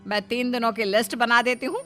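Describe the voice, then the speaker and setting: A woman's voice: on the high side, steady tone, brisk, sharp tone, soft background music. A woman playing scolding, sarcastic women of a squabbling household in a cartoon family story. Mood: stern. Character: bickering, sarcastic daughters-in-law and scolding mother-in-law.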